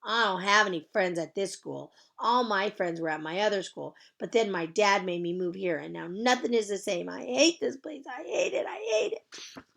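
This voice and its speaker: Woman doing a sulky, shouting young boy. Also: medium pitch, normal speed, creaky, few pauses. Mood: angry upset. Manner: shouting, whiny. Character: sulky upset young schoolboy.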